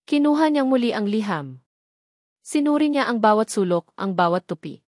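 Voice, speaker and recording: A woman's voice: on the high side, expressive, moderate pace, a little mellow, no echo, studio quality. A woman with a Filipino accent narrating a dramatic story in the first person.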